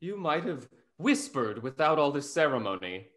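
Male actor as snarky Restoration gentleman, youngish, higher voice, wry and ornate delivery. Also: big pitch swings, normal speed. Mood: arch, teasing. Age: young adult. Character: witty, snarky gentleman friend of a London rake. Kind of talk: stage acting in a Restoration comedy over video call. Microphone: video call.

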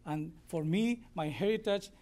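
Spanish-speaking guy thanking the council, earnest and heartfelt, slightly high voice. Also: unhurried, a little mellow. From born in Spain, raised in Colombia. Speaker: community member accepting a proclamation. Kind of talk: short public thank-you speech. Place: council meeting room. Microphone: podium microphone.

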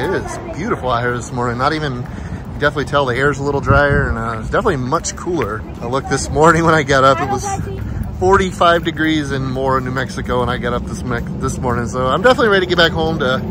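A man with an American accent chatting casually to viewers as he hosts a travel vlog. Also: mid-range voice. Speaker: vlogger and host. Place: in and around a car on a road trip. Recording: noisy car and outdoor road ambience.

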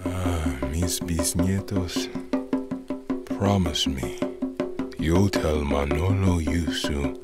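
An actor playing a frail, gentle old great-grandfather and storyteller in an audio drama, speaking haltingly and wearily. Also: sits low, loud music behind the voice. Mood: tender, earnest. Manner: halting, tender, weary.

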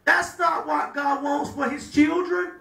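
A middle-aged man preaching a sermon at a pulpit, breaking into shouts and drawing call-and-response from the room. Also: extremely high pitch, steady tone, unhurried, vocal fry. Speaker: pastor. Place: small chapel room with a pulpit. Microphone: handheld mic, filmed by a far camera. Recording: echoey room from far off.

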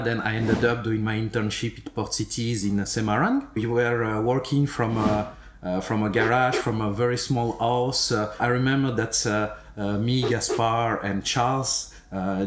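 A man's voice: mid-range voice, background noise. A man in his thirties, from Ukraine and raised in Belgium, telling his career story in an interview with many fillers and restarts. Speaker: guest, company director. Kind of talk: conversational interview. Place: studio room with sofa. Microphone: desk microphone. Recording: roomy echo.

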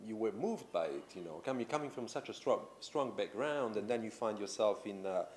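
A low-pitched man in his thirties, hosting an indoor interview. His questions wander and stack up, padded with "you know" and "I mean", over a faint room hum. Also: lively intonation, fairly quick. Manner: rambling, long winding questions full of you know. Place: indoor room with tapestries and a sofa.

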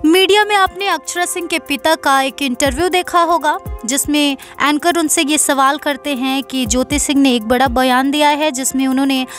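High-pitched woman news presenter. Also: fairly even intonation, moderate pace, few pauses, a bit bright. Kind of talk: scripted news narration. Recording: music playing behind. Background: background music.

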